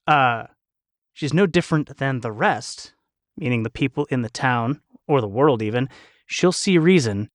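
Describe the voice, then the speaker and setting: Man, casual panel chat. Mid-pitched, jokey, speculative and rambling, dry sound. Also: dry recording. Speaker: podcast panel member, cast of an audio drama.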